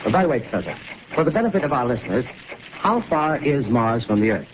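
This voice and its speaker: An actor playing an earnest male radio reporter in a 1930s radio drama, speaking in a slightly halting newsman manner. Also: mid-range voice, big pitch swings, close to an everyday voice. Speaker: field commentator. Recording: noisy tinny 1930s radio broadcast.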